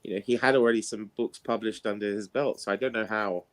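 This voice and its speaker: A man's voice: lowish voice, steady tone, quick pace. A man in a casual chat, telling anecdotes in a rambling, conversational way. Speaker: participant in an online book discussion.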